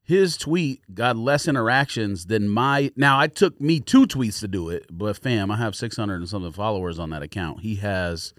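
A man's voice: fairly low pitch, expressive, moderate pace, few pauses, no room sound, studio quality. A man co-hosting a podcast in casual, opinionated banter, speaking bluntly in slang with a sardonic edge. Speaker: podcast co-host.

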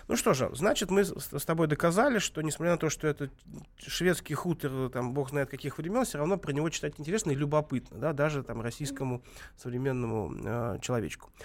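Halting male radio host with a cold. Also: mid-range voice. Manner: halting, many filler sounds. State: has a cold. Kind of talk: live radio interview. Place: radio studio.

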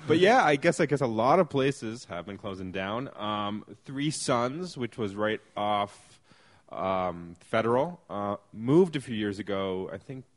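A man's voice: lowish voice, lively intonation, measured pace. A man hosting a casual podcast, joking and rambling with lots of fillers. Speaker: podcast host.

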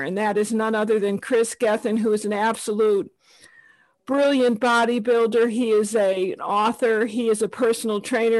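A woman's voice: mid-range voice, darkish tone, no room sound, rough recording. A woman hosting a nutrition podcast, introducing her guest and asking questions in an enthusiastic, effusive way. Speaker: podcast host. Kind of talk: podcast interview.

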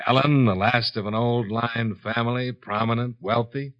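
An actor playing a shrewd, unhurried insurance investigator in a 1950s radio drama, with a dry, matter-of-fact delivery. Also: male voice, mid-range voice, steady tone, close to an everyday voice. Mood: coolly accusing. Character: cool, shrewd male insurance investigator, hard-boiled and unhurried. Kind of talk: 1950s radio detective acting. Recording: dull 1950s radio broadcast recording.

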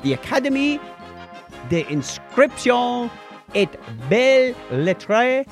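A man's voice: extremely high pitch, big pitch swings, takes it slowly, gravelly, faint music behind. A man co-hosting a podcast, mixing casual banter with read-out items and jokey wordplay asides. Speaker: podcast co-host. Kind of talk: casual banter mixed with reading listener mail and history items.

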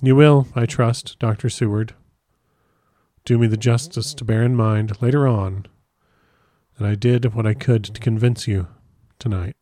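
Casting: male reader as desperate, well-bred madhouse inmate, imploring and emphatic, mellow timbre, close dry audiobook sound. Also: on the low side, fairly even intonation, measured pace, no room sound, natural voice. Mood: quiet, dignified. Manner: imploring, emphatic, educated and formal phrasing. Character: frantic male asylum patient pleading desperately, well-bred. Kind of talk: dramatic audiobook character reading.

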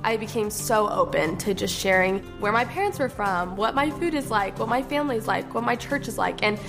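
A young woman telling her story in an animated way, quoting what others said to her. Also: mid-range voice, a little fast, music in the background. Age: young adult. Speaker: interviewee. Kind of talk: casual personal story.

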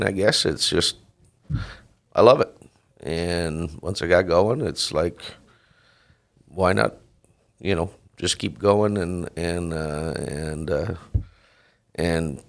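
A middle-aged man from the Netherlands with a Dutch accent, a dairy farmer answering interview questions in a halting way with many fillers and restarts. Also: deep voice, gravelly.

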